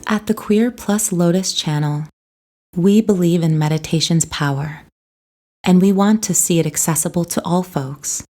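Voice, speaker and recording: A woman narrating a scripted guided meditation in a soothing, gentle, unhurried way. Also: lowish voice.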